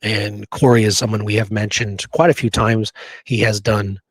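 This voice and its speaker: A man co-hosting a history show in casual discussion, talking informally and haltingly with frequent asides. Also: lowish voice, level tone, breathy edge, no echo. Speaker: co-host of a history talk show.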